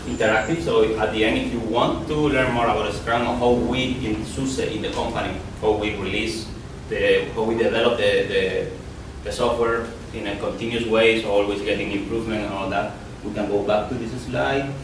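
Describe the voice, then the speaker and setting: A man's voice: lowish voice, steady tone, moderate pace, few pauses. A man in his thirties with a Spanish accent, giving a casual conference talk, often repeating and restarting his words. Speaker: conference speaker. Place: lecture hall. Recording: echoey hall from far off, noisy.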